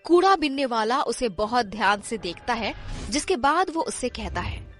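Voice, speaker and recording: A woman's voice: a little high, animated, moderate pace, a bit bright, soft background music. A woman narrator reading a scripted cartoon story.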